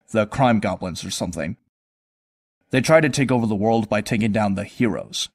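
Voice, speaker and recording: An actor playing a sardonic, world-weary young vigilante, narrating and talking in a wry, conversational way in a motion comic. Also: male voice, on the low side, darkish tone, natural voice. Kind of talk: first-person narration and dialogue, motion comic.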